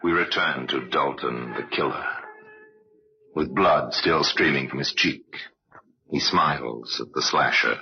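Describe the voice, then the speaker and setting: A man acting as a sinister, knowing crime-story narrator in an old-time radio drama, speaking deliberately. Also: rumbling low, breathy edge, soft background music, heavily acted. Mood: grave, ominous. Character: ominous, knowing male narrator of true crime tales. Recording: dull old radio recording.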